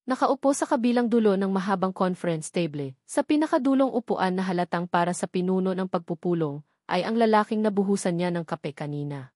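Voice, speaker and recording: Filipino lady narrator reading a dramatic story, mid pitch, really dry close sound. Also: lively intonation, a little fast, darkish tone, no room sound.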